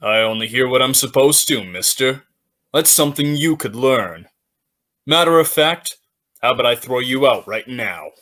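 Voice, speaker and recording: A surly gangster from an old radio play, voiced by a man, brushes people off in curt slang. His mid-pitched voice has a hard, sharp edge and a threatening air. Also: animated, normal speed, close to an everyday voice. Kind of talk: 1940s radio acting.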